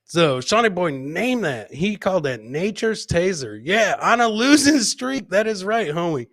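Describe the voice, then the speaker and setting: A man's voice: high voice, big pitch swings, a little slow, fairly bright tone, no room sound, clean studio sound. A man hosting a comedy show, casually commenting on clips with crude, mocking humour and repeating his punchlines.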